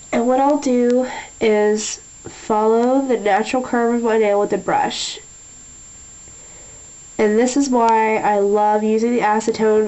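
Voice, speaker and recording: A young woman talking through a nail tutorial in a chatty way, a little nasal from a sinus infection, often clearing her throat. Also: mid-range voice, dark tone, poor audio. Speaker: beauty vlogger. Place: small room at a table.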